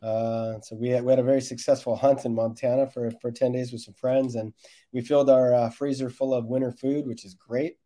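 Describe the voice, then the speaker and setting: A man's voice: medium pitch, little pitch movement, fairly quick, warm tone. A man who coaches soccer, answering interview questions in a conversational, explanatory way.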